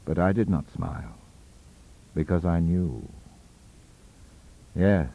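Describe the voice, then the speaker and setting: A man's voice: low voice, takes it slowly, warm tone. A man narrating a ghost story in a theatrical, melodramatic manner. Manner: theatrical, melodramatic, wide-ranging delivery. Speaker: audiobook narrator.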